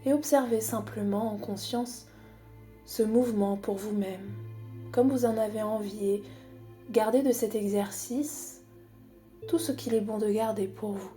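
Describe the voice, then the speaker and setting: A woman guiding a body scan meditation in a calm, unhurried, soothing manner with long pauses. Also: mid-range voice, soft background music. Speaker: psychologist guiding a relaxation exercise.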